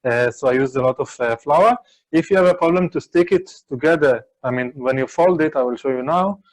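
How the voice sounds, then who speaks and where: Halting man chatting while cooking. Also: a little high. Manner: halting, many restarts and "I mean". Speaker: chef and Berlin food tour guide. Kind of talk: casual cooking chat.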